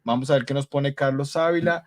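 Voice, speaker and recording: A man's voice: medium pitch, fairly even intonation, fast talker. A man teaching an English grammar class live online, upbeat and encouraging, switching between Spanish, English and Portuguese. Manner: repeats praise like muy bien. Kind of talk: live online class reading student answers.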